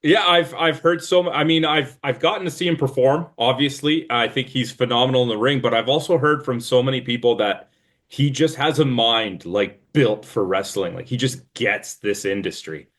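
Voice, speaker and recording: Male podcast host, casual, friendly interviewer, average pitch. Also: moderate pace, fairly bright tone. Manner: friendly, easy laughs, conversational questions.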